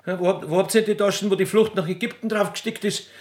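A man's voice: high voice, fairly even intonation, fast talker, pitched above the performer's own voice, lightly acted. A man voicing a fat, bossy middle-aged widow with an Austrian accent, fussy and indignant, in a comic radio play. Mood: flustered, bossy. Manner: breathless, fussy, repetitive scolding.

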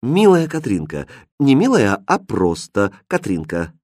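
A man voicing a lively young kitchen girl, kind and teasing, with a sing-song manner in a storybook. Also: lowish voice, a little slow, dry recording, clean studio sound, exaggerated voice.

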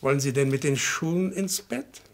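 An actor playing an old, matter-of-fact retired hangman in a radio play, with a husky voice and terse remarks. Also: fairly high pitch, normal speed. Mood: fussing, soothing. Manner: terse, clipped little remarks. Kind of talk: German-language radio play acting.

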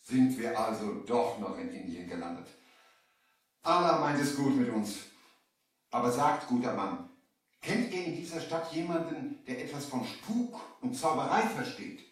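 A man's voice: medium pitch, big pitch swings, a little slow, distant mic. An actor playing an earnest merchant from Baghdad who narrates his adventure in a dramatic vintage audio play. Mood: hopeful, courteous. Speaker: narrator and lead character. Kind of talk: scripted storytelling, 1970 audio drama. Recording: echoey vintage LP, off the mic.

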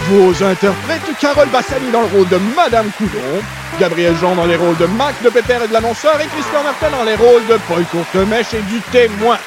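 Very high-pitched radio announcer, male. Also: animated, fairly quick, few pauses, over music. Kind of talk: scripted radio closing credits.

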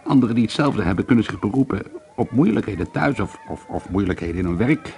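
A man's voice: lowish voice, animated, fast talker, vocal fry, darkish tone. An actor playing a troubled, bitter schoolteacher and painter in a radio drama, hesitant and confiding, repeating himself as he broods. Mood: bitter, confessional. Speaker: lead actor and first-person narrator. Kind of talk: radio drama monologue and dialogue. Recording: noisy old radio play recording.

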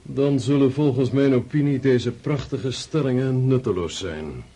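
A man acting an earnest, blunt staff general in a radio play, measured and dryly ironic. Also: medium pitch, warm tone, breathy edge. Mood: sceptical.

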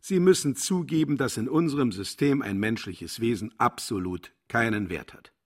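A man's voice: mid-range voice, moderate pace, close to an everyday voice. An actor playing a cynical, condescending man who lectures pompously about profit in a theatrical radio drama. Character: cynical, condescending male defender of killing for profit.

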